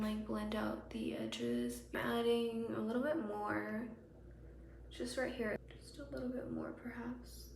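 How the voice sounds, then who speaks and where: Woman, casual beauty vlogger, losing her voice and raspy, drifts into soft whispers; roomy sound. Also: fairly high pitch, slight background noise. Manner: chatty, casual, drops to soft whispers while applying. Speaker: beauty creator. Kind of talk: makeup tutorial chat.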